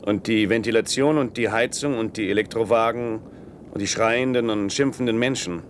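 An actor in a science-fiction radio drama playing a determined, sober expedition leader who talks in a clipped, matter-of-fact way over vehicle noise. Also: male voice, on the low side, moderate pace, natural voice. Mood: sober, resigned. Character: younger determined, sober man leading an expedition. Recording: noisy radio play with vehicle hum.

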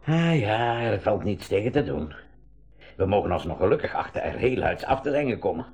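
Husky, animated older professor. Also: male voice, lowish voice, fairly quick, full character voice. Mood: reassuring, measured. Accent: Flemish. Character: older professor uncle, calm leader of a stranded expedition. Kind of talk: 1980s school radio drama acting.

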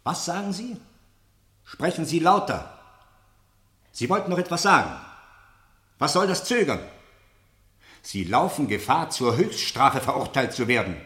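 An actor playing a stern, sceptical interrogator in a radio play, formal and insistent, with deliberate, pointed questioning. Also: male voice, fairly high pitch, big pitch swings, fairly bright tone, roomy sound. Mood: pressing, accusing. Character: stern, probing male interrogator, sceptical and relentless. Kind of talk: German radio play acting, interrogation drama.

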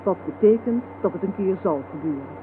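A man's voice: high voice, expressive, fairly quick. A man reading a scripted text as narrator in a formal style. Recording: noisy, thin phone-like old radio recording.

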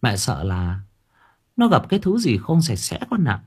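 A man's voice: medium pitch, big pitch swings, measured pace, darkish tone. A man voicing a worried older mother-in-law who scolds and coaxes. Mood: hushed. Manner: scolding, fussing, coaxing.

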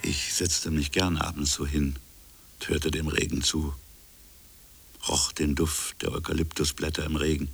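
A man playing a reflective French colonial official in a literary radio drama, recalling a buried affair in musing, fragmentary phrases with a gravelly, husky voice. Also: extremely low pitch, level tone, a little slow, a bit bright, soft background music, full character voice. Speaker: narrator and protagonist.

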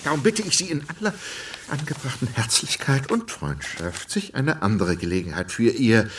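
An actor playing a vain, bombastic, quick-tempered count and politician in a radio drama, condescending and theatrical. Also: male voice, mid-range voice, very animated, recorded from a distance, lightly acted. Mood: insistent. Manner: theatrical, pompous, swinging from smooth to blustering. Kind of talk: German radio drama acting.

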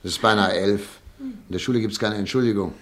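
An actor playing a 39-year-old professor and chief physician in a period radio drama, speaking in a wistful, reflective, quietly anxious way. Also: male voice, lowish voice, fairly quick. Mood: cheerful father. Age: 30s. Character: 39-year-old doctor, proud yet uneasy.

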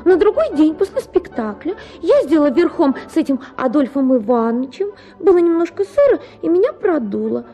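A capricious, chattering young wife in a radio play. Her voice is very high and swoops about with theatrical gush. Her tone stays mellow and soft. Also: very animated, brisk, hardly any pauses, soft background music. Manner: theatrical, gushing, complaining.

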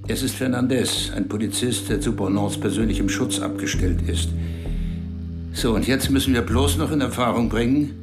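A man's voice: medium pitch, normal speed, over loud music, close to an everyday voice. An actor playing a commanding male intelligence chief in a radio drama, briefing his staff with cool authority. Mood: lecturing.

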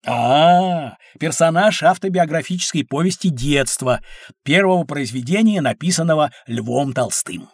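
A man playing a kindly, knowledgeable uncle who explains things to children in an expressive educational audio drama. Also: a little high, unhurried, no echo, studio quality. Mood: explanatory, kindly.

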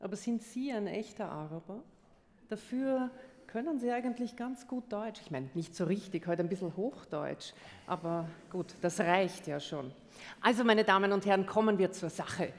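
A woman's voice: mid-range voice, expressive, normal speed. A woman from Austria with a Viennese accent, co-presenting with comedic, playfully indignant stage banter at a carnival concert. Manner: teasing, theatrical, playfully indignant. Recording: live hall reverb.

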